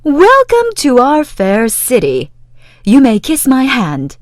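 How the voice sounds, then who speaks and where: A tiny, gracious queen in a children's tale. Her voice is high and regal, with slow, stately phrases in a dry recording. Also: huge pitch swings, no room sound, exaggerated voice. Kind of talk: children's animated storybook acting.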